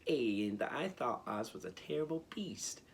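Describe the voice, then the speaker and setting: A man voicing an indignant tin woodman from a storybook, loud and complaining. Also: medium pitch, big pitch swings, unhurried, slight background noise. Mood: earnest.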